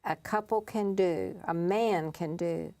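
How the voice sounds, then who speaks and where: A woman's voice: fairly low pitch, slow pace, mellow. A middle-aged woman hosting an interview show, friendly and teasing with her guest. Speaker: talk show host.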